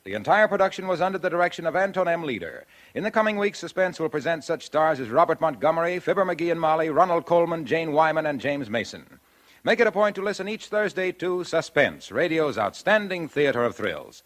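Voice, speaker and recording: A man's voice: fairly high pitch, big pitch swings, brisk, fairly bright tone. A man announcing in 1940s radio style, delivering scripted advertising with polished sales patter. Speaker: radio announcer and sponsor pitchman. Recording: old radio broadcast, faint music.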